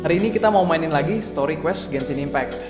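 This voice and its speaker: Male Indonesian-accented game streamer: casual, fast, reverberant, with music behind. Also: a little high. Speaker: gameplay streamer. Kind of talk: casual gameplay commentary. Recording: echoey reverberant voice over music.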